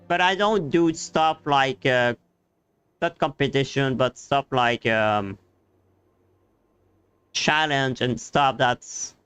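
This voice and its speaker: A man giving casual live game commentary as a streamer, explaining things haltingly in non-native English grammar. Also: a little high, a bit bright, smooth. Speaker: gaming streamer. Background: faint game music.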